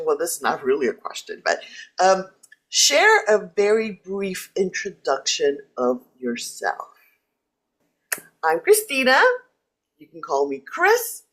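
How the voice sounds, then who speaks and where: Low-voiced, lively woman coach. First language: Spanish. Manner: lively, playful, quick jumps in pitch. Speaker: leadership coach. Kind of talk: casual chat.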